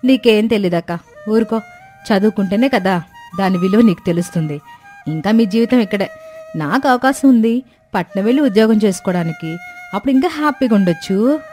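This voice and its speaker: A woman's voice: medium pitch, animated, a little fast, long pauses, dark tone, faint music behind, close to an everyday voice. A woman voicing a haughty, educated young daughter-in-law in a cartoon moral story. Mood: scornful.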